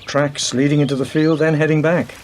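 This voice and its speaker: Man acting a slightly high-voiced, wry police detective. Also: level tone, brisk, darkish tone, a little hiss or hum, close to an everyday voice. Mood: brisk, analytical. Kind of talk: radio play acting.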